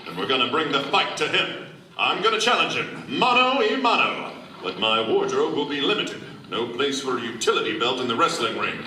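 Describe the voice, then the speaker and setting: A man's voice: mid-range voice, very animated, brisk, pushed and bright. A man playing an earnest, self-important superhero in a comic radio play, overdramatic and boyishly eager. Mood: heroic resolve. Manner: overdramatic, hammy declaiming. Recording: echoey hall, far mic, faint noise.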